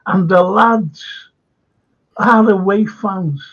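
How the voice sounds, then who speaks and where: A man's voice: high-pitched, extremely slow, a bit bright, muffled recording. An older man hosting a casual fan chat show, rambling and chatty with lots of "you know". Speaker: host.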